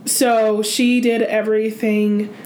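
A woman with a congested cold voice co-hosting a casual true-crime podcast, chatty and quick to laugh. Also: a little high, little pitch movement, darkish tone, a little hiss or hum, rough recording. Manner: chatty, laughs easily, sings a line. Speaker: podcast co-host.

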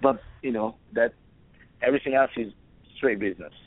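A man from Italy with an Italian accent and a hoarse, worn voice, answering interview questions in a rambling way. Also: medium pitch, a little fast, dry recording. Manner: rambling, restarts, listing. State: hoarse, losing his voice. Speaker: guest. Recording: dry phone line.

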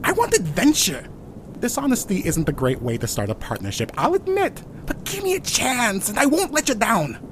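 An actor playing an eager, fumbling middle-aged would-be detective in a comic noir audio play, with a flustered, theatrical delivery. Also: male voice, high-pitched, wildly swooping pitch, normal speed, a little hiss or hum. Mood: pleading, earnest. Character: eager, fumbling overweight man over fifty, would-be detective.

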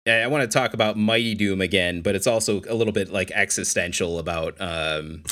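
A man's voice: deep voice, brisk, a bit bright. A man in a casual podcast chat about video games, talking in a rambling, reflective way. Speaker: podcast host.

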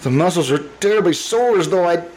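A man's voice: high-pitched, expressive, brisk, a little mellow, a little hiss or hum, low-quality recording. A man playing a haunted, anxious husband in old-time radio style, with a hesitant, stammering delivery. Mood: distraught, fearful. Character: anxious, haunted, haggard married man fearing himself.

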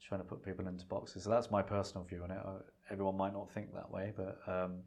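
A man's voice: low voice, flat delivery, fast, a little mellow. A middle-aged man whose first language is Punjabi, speaking as an interview guest in a reflective, rambling way with many fillers and restarts. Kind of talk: relaxed conversational interview.

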